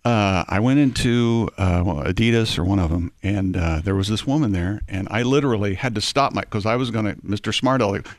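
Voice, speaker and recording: A man's voice: on the low side, a little fast, hardly any pauses, dry recording, clean studio sound. A man co-hosting a casual chat, telling rambling, joking anecdotes full of fillers. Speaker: co-host.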